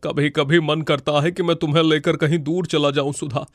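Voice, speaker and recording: A man's voice: a little high, little pitch movement, moderate pace, no room sound, studio quality, close to an everyday voice. A man voicing a humiliated, weary elderly father-in-law in a cartoon story, lamenting and aggrieved. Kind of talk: Hindi cartoon moral-story dialogue.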